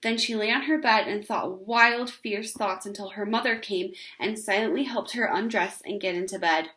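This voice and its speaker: A woman narrating a children's story aloud in an expressive read-aloud style. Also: mid-range voice, moderate pace, low-quality recording.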